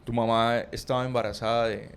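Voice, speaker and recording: A man's voice: mid-range voice, little pitch movement, brisk, clear, resonant tone. A man hosting a podcast interview, asking friendly, curious follow-up questions. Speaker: host. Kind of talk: interview questions in casual chat.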